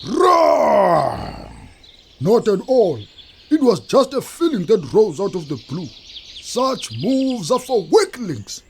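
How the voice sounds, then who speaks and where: A proud, drawling, blustering lion. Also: male voice, high-pitched, sing-song, slow pace, gravelly, slight background noise, heavily acted. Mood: defensive bluster. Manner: blustering, defensive, breaks into roars. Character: Ngonyama, proud male lion hiding his love of ballet. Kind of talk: musical comedy audio theatre for children.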